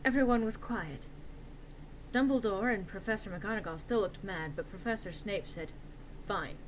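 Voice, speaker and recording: A woman's voice: medium pitch, normal speed, slight background noise, rough recording. A woman reading a story aloud as narrator in a theatrical, mock-serious and emphatic comic style.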